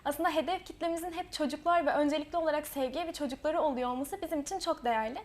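A woman's voice: high voice, rapid-fire. A young woman, a student author, answering interview questions earnestly. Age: young adult. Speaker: guest, student author and association vice-president.

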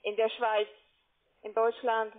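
A woman's voice: a little high, monotone, slow. A woman with a foreign accent in German, working as a call center agent and giving instructions insistently and repetitively. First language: not German. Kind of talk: phone sales instructions. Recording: muffled narrow-band phone line.

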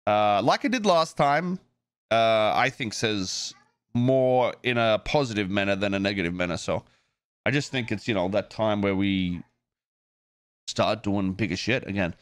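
A man's voice: lowish voice, measured pace, no room sound. A man talking casually and off the cuff on a stream, swearing freely and thinking aloud, like a game developer chatting to viewers.

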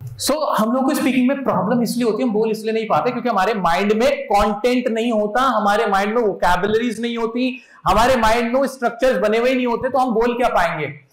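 A man's voice: very high-pitched, a little fast. A young man from India teaching English at a live seminar, speaking on stage into a handheld mic and coaxing students with questions. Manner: energetic, coaxing, asks the crowd questions. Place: large auditorium stage. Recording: echoey hall.

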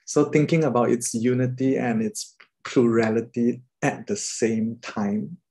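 A Singaporean man, an artist, talking thoughtfully with many pauses and fillers on a video call. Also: medium pitch, steady tone, dark tone. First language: Mandarin.

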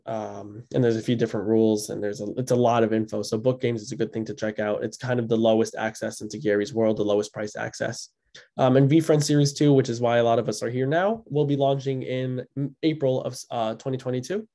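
A young man hosts a video-call webinar, his voice mellow and dark in tone. He talks in a loose, conversational way, full of ums and quick restarts, as he walks through slides and answers questions. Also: mid-range voice, fairly even intonation. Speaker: host of an educational webinar. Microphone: video call microphone.

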